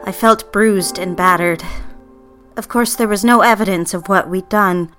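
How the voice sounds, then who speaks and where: An actress playing a guilt-ridden, defiant young bride-to-be in an audio drama, brooding and defensive in manner. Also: female voice, medium pitch, measured pace, fairly bright tone, faint music behind, close to an everyday voice. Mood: brooding, troubled. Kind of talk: audio drama, confessional first-person narration and dialogue.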